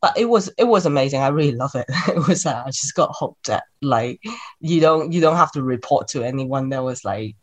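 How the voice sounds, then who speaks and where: A woman's voice: a bass voice, lively intonation, fairly quick. A woman in her thirties from Hong Kong with a Hong Kong accent, a chatty podcast guest who talks with many fillers and restarts. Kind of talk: podcast interview.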